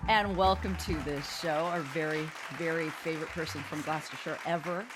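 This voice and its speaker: A woman's voice: low-pitched, fairly even intonation, brisk, music in the background, a crowd in the background, rough recording. An American woman hosting a casual comedy talk show, playful and self-deprecating in her banter.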